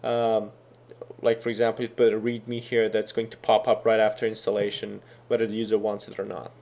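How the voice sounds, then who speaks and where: A man whose first language is Serbian, explaining a software installer step by step in a casual, thinking-aloud way with frequent fillers. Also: fairly low pitch, monotone, a little fast, gravelly. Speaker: software tutorial instructor. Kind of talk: screen-recorded coding tutorial.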